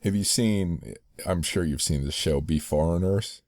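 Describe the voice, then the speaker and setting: Very deep-voiced male interviewer. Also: measured pace, a little mellow, no room sound. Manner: rambling questions, frequent hmm backchannels. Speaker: interviewer host. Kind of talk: interview conversation.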